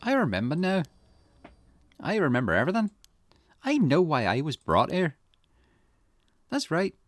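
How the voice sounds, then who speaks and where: Man voicing a high-pitched, defiant drugged young woman. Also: huge pitch swings, normal speed, no room sound. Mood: dazed. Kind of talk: visual novel character reading.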